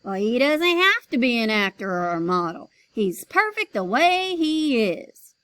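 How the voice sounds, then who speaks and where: A woman reading aloud, voicing a loud, bossy, cigar-chomping male company president who shouts his demands. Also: a little high, very animated, measured pace, dry recording.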